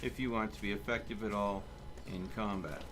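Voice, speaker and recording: A man's voice: on the low side, steady tone, fairly quick, music in the background. A man hosting a livestream, chatting casually and giving instructions to his teammates as he plays a game. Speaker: livestream host and player. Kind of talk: casual gaming stream chat, giving team instructions.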